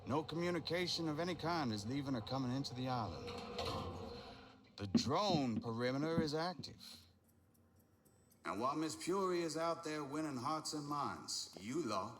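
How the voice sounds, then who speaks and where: A man plays a cold, commanding executive villain in a video game. His delivery is formal and authoritative, at a middling pitch, over clear background noise. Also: lively intonation, moderate pace, natural voice.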